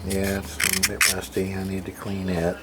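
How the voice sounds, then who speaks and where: A man giving a casual, unscripted show-and-tell of equipment in a halting, matter-of-fact way, as if muttering to himself. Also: low voice, monotone. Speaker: hobbyist radio repairer, video host. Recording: clearly noisy amateur recording.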